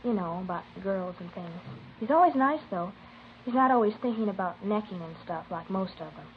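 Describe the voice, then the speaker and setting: A woman playing a guarded, tentative teenage high school girl in a naturalistic 1950s radio drama. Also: expressive, a little fast, dull audio, natural voice. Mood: hesitant, confiding. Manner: tentative, plain-spoken. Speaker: actor playing a witness. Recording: thin, noisy old radio recording.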